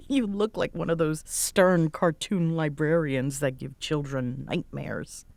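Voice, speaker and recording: A woman's voice: deep voice, takes it slowly, vocal fry, no echo, exaggerated voice. A young woman playing a grumpy, teasing tsundere roommate in an audio roleplay, with a sarcastic, huffy delivery. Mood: teasing, amused. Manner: sarcastic, huffy, sighing exasperation with soft spots. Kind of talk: roleplay audio drama, conversational acting.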